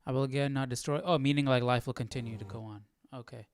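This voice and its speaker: A man's voice: medium pitch, steady tone, fast talker, soft background music. A man casually hosting a live Bible study, reading and musing aloud with informal, questioning commentary. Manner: informal, questioning, thinking out loud.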